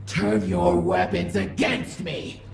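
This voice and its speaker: Wrathful, gravel-throated alien war goddess. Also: female voice, lowish voice, sing-song, slow pace, a little mellow, full character voice. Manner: bellowing, imperious declamation. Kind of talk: video game villain monologue. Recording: echoing voice over faint music.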